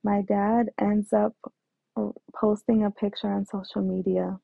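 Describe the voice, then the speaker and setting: A woman slowly tells her personal story, with a gravelly fry in her voice and frequent hesitations and restarts. The recording is dry and tinny, like a phone line. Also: mid-range voice, flat delivery, no echo. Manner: hesitant, many fillers and restarts. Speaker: guest telling her life story.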